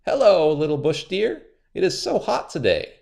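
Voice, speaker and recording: A sly storybook trickster, acted out by a man, speaks far up in a high register. The delivery swoops about with exaggerated, wheedling insistence, like a schemer pushing someone along. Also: wildly swooping pitch, moderate pace, vocal fry, darkish tone, heavily acted. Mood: coaxing. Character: sly, lazy trickster spider, falsely friendly, easily irritated.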